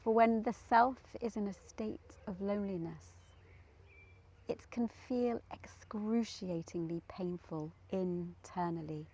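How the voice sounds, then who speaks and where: A woman leading a guided meditation in a gentle, soothing manner, leaving long pauses between short, deliberate phrases. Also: mid-range voice.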